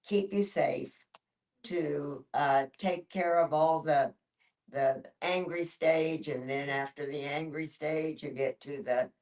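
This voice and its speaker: Slow, low-voiced older woman, off mic. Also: darkish tone. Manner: halting, with pauses. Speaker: discussion group participant. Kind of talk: personal storytelling in a group discussion. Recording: distant mic in a room.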